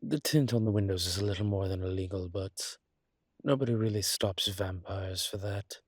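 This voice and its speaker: A man playing a courteous, wry vampire with formal, hesitant phrasing and a husky voice in an audio roleplay. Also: low-pitched, normal speed, no room sound, studio quality. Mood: discreet, matter-of-fact. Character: courteous, wry adult male vampire wary of daylight. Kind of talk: one-sided audio roleplay acting.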